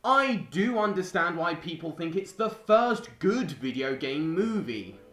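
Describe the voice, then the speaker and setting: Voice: medium pitch, very animated, a little slow, a bit bright, a little hiss or hum. A young adult reading a scripted video essay as a film reviewer, with music behind. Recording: roomy voice-over with music behind.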